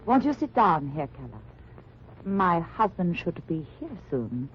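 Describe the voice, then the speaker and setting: An actress playing an evasive German housewife, polite and eager, in an old radio drama. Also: female voice, fairly low pitch, big pitch swings, a little slow, a little hiss or hum. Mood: polite, flustered. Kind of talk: 1940s-50s radio drama acting. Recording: dull old radio recording.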